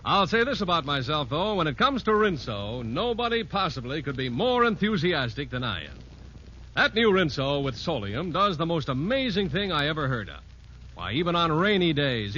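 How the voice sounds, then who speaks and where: A man reading a scripted commercial as a pitchman on old-time radio. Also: bright, forceful tone. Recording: dull, noisy vintage radio recording.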